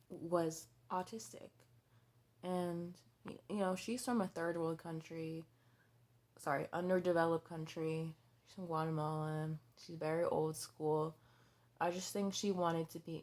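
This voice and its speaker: A woman in her twenties talking frankly in a personal vlog, halting and hesitant, with many restarts and fillers, blunt and given to swearing. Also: on the low side. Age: 20s. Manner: blunt and candid, swearing freely. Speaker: vlogger.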